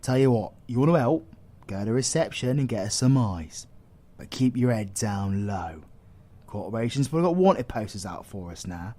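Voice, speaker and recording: A man's voice: on the low side, lively intonation, moderate pace, warm tone. A British man playing a cocky, foul-mouthed supervillain in an audio roleplay, crude, teasing and sarcastic. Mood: gruff, bossy. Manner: crude, teasing, sarcastic, heavy slangy swearing. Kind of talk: audio roleplay acting addressed to the listener.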